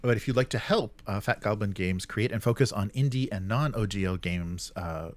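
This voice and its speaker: A man co-hosting a tabletop gaming news show, talking casually about the news in a halting, agreeable way. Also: on the low side. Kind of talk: casual talk, commenting on news.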